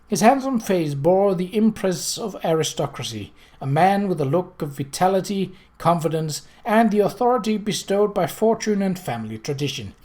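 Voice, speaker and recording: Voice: medium pitch, a little mellow. Audiobook narrator, middle register, scripted storytelling from a novel.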